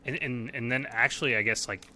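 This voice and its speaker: A man's voice: medium pitch, fairly even intonation, a little fast, sharp tone, a little hiss or hum. A young man co-hosting a podcast over a video call, asking long, rambling questions with many restarts. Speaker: podcast co-host. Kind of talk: casual video call interview. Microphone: headset mic on video call.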